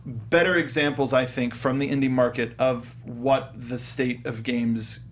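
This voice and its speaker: A male talk show co-host with a medium-pitched voice. He chats casually and makes his points with emphatic enthusiasm. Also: fairly even intonation, a little slow, a little hiss or hum. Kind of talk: casual discussion.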